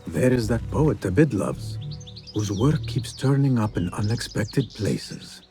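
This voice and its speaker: An actor playing a courteous, guarded young male assassin in a video game, speaking in a measured, restrained way. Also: fairly low pitch, lively intonation, warm tone, faint music behind. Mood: smooth, diplomatic. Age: young adult.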